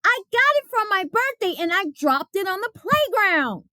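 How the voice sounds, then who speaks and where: A woman's voice: very high voice, very animated, normal speed, bright, forceful tone, no room sound, pitched above the performer's own voice, heavily acted. A woman voicing an indignant young schoolboy in a storybook, whiny and insistent. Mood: aggrieved.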